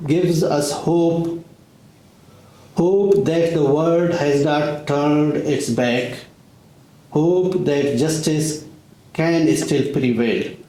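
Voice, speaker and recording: A man giving a formal prepared speech at a conference, measured and deliberate, pausing often. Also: on the high side, mellow. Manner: measured, deliberate delivery with long pauses. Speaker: Baloch activist speaker. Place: conference room in parliament. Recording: echoey conference room.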